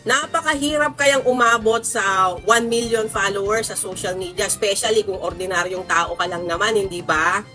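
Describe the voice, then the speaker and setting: Bright-voiced Filipino woman, chatty vlogger. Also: mid-range voice, steady tone, faint music behind. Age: 40s. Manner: chatty, conversational, emphatic with drawn-out words. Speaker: social science teacher and content creator. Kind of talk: casual storytelling vlog to followers.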